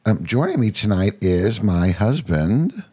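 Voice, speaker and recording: A man with a deep, noticeably husky voice that is clogged by a sinus cold. He talks in a loose, rambling way, like a podcast host chatting casually with his partner. His throat sounds congested and a bit off. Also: big pitch swings, measured pace, darkish tone.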